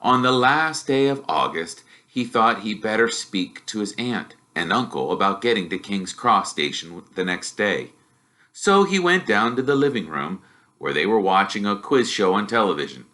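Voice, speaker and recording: A man reading a story aloud as expressive scripted narration. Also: fairly low pitch, moderate pace. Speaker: storybook reader.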